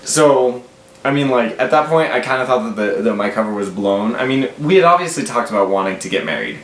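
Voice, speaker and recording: A man's voice: mid-range voice, lively intonation, brisk, fairly bright tone. A man in his twenties with an American accent, telling a story casually and animatedly, quoting dialogue as he goes. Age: 20s. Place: small room at home, indoors. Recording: roomy echo, faint background noise.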